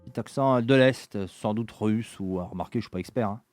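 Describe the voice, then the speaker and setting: A man's voice: lowish voice, a little fast, a little mellow, dry recording, soft background music, natural voice. A man voicing a chatty, nosy middle-aged barkeeper who talks bluntly and jokes around. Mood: gossipy. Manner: blunt, jokey, rambling.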